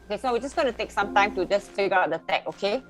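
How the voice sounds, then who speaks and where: A woman's voice: medium pitch, animated, rapid-fire, fairly bright tone, faint music behind. A woman facilitator speaking patiently and reassuringly to a workshop group.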